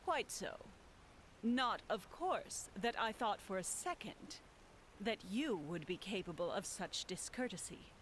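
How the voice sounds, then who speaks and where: Slow, prim manor housekeeper played by an actress. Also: female voice, mid-range voice, lively intonation, slight background noise, natural voice, low-quality recording. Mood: prim, courteous. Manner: formal, unhurried, primly polite. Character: courteous, evasive female housekeeper of a mysterious manor. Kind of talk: scripted fantasy game dialogue.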